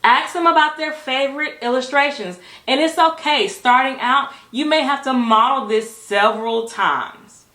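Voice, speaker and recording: A woman giving instructional talk to fellow educators as a teacher and presenter, phrasing things emphatically and deliberately with rhetorical questions. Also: high-pitched, bright, forceful tone, roomy sound.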